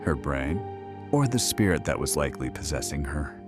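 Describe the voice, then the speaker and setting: A man acting as a late-night radio host in an audio drama. His voice is very low, over a music bed. He is sardonic and folksy, teasing whoever he talks to. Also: sing-song, normal speed. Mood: probing. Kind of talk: radio call-in show acting.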